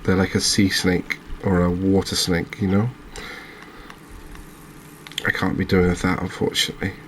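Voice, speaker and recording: Deep voiced guy doing chill asmr game commentary, barely varies his tone, bit of background noise. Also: completely flat delivery, moderate pace, a bit bright. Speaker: gaming video host.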